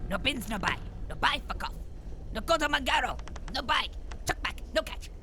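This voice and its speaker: Gruff pushy wasteland trader, broken english, voice swings around, loud noisy bed behind, audio comedy. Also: high-pitched, big pitch swings, normal speed, heavily acted. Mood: hostile, dismissive. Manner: clipped broken English, repetitive sales patter. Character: gruff, pushy, foul-mouthed nomad trader on giant snail. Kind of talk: comedy audio drama character acting.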